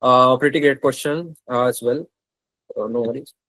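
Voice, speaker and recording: A man's voice: medium pitch, normal speed, bright, forceful tone, no room sound, low-quality recording. Man reassuring an audience on a call. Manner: reassuring, answers audience questions. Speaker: co-host moderating questions. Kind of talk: casual moderation.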